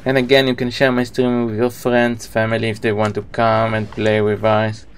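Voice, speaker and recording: A man's voice: fairly low pitch, monotone, normal speed, slight background noise. A man in his thirties with an Israeli accent, a native Hebrew speaker, chatting casually with viewers as he live-streams a video game.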